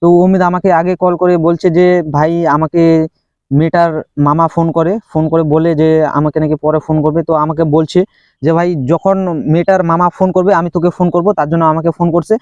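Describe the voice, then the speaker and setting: A man hosts casually for his viewers. His voice sits a little high and moves fast. The sound is slightly muffled and lo-fi. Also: flat delivery, a little mellow, no room sound. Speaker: host introducing a prank call clip. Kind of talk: casual vlog talk to viewers.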